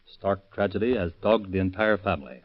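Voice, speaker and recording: A man's voice: low voice, expressive, a little fast. A man reading a case epilogue as a police captain, formal and stilted, on 1930s radio. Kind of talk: stiff scripted read. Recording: muffled old 1930s radio recording.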